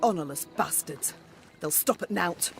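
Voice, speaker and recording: A woman's voice: lowish voice, measured pace, vocal fry. An actress playing a proud, blunt warrior queen in a video game, speaking in a formal, commanding way. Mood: indignant. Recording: music behind the voice.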